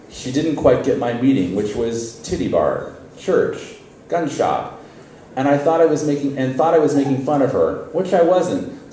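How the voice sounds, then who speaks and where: Distant echoey hall, man, low mellow voice, wry conversational storytelling, live stage reading. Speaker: reader at a literary event. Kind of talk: reading a first-person story aloud on stage. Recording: very echoey hall, distant mic, faint noise.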